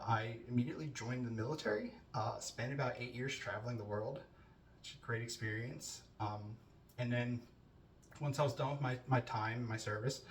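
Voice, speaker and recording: A man's voice: medium pitch, a little hiss or hum. A man in his thirties from New Jersey giving a casual self-introduction to a class, talking conversationally with lots of fillers and restarts. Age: 30s. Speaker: student introducing himself. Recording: roomy, distant mic with faint ambience.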